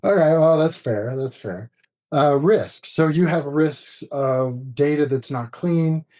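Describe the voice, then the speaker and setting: A man with a mid-pitched voice talks as an expert guest in an interview. He explains things informally, point by point. The sound is dry, with no room reverberation. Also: darkish tone.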